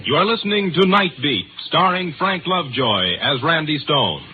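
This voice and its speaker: A man's voice: fairly high pitch, animated, moderate pace, natural voice. A man as a radio announcer introducing a drama in 1950s broadcast style. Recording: tinny old radio with loud music.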